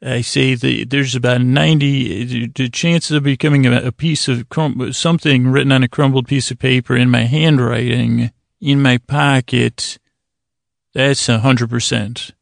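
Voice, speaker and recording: A man with a creaky voice hosting a bedtime podcast, talking in a soothing, meandering monologue with halting pauses and digressions. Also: medium pitch, steady tone, no room sound, clean studio sound. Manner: soothing, lulling delivery. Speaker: podcast host.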